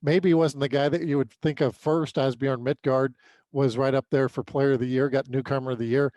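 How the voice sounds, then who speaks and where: American male sports co-host, mid-pitched, halting off-the-cuff recap, very dry sound. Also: fairly even intonation, mellow, no room sound. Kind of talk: unscripted sports recap commentary.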